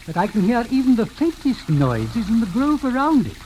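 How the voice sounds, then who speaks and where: An actor playing a sly, deferential manservant with formal, coolly polite phrasing and measured hesitations, in 1940s radio drama style. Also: male voice, very high voice, huge pitch swings, darkish tone, a little hiss or hum, exaggerated voice, low-quality recording. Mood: slyly insinuating.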